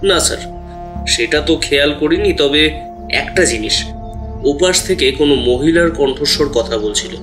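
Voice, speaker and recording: A man's voice: a little high, moderate pace, over music, close to an everyday voice. A man playing a deferential hotel employee who answers questions politely and explains carefully in an audio drama. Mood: deferential, explaining. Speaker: voice actor in an audio detective drama. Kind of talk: acted dialogue with background music.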